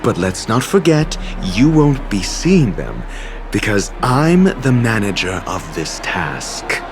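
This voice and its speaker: A man's voice: lowish voice, very animated, slow pace. A man voicing a haughty, coldly sarcastic scholar in a video game, precise, condescending and scornful. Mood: cold, mocking. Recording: music behind the voice. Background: game music.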